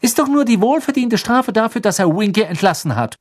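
A bossy, shrill teenage girl in an audiobook, acted out by a man. The voice sits high and swings widely, insistent and argumentative. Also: huge pitch swings, moderate pace, husky, exaggerated voice. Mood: indignant. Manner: emphatic, argumentative.

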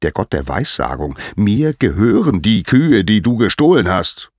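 A man voicing an angry, indignant god who booms out accusations in a storybook telling. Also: unhurried, creaky, slightly rough, dry recording, clean studio sound, exaggerated voice. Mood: furious, accusing. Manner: booming, indignant, emphatic. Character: furious thundering god accusing a thief.

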